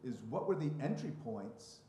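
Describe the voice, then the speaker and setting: A man's voice: medium pitch, sing-song, normal speed, dark tone, slight background noise. A man moderating a live panel conversation as a writer, speaking in a reflective, expansive way as he thinks aloud into a microphone. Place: public library event room. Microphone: handheld or stand mic. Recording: roomy echo of a live event.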